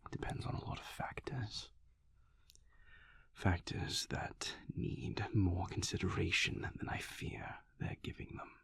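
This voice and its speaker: A man playing a guarded, world-weary vampire in an intimate audio roleplay, speaking formally and measuredly in a breathy, gravelly near-whisper. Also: deep voice, steady tone, dry recording, exaggerated voice. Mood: guarded, pensive. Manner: formal, measured, clipped, sighing diction.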